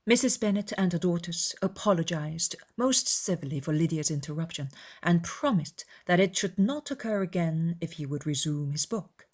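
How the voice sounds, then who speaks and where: A woman narrating a classic novel as an audiobook, in a measured, formal storytelling manner. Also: lowish voice, expressive, a little mellow. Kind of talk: dramatized literary narration.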